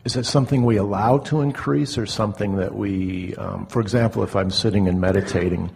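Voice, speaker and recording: Casting: man with a creaky, rough-edged, lowish voice, audience questioner, careful and halting delivery. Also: darkish tone, slight background noise. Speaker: audience member asking a question. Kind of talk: unscripted question at a live seminar.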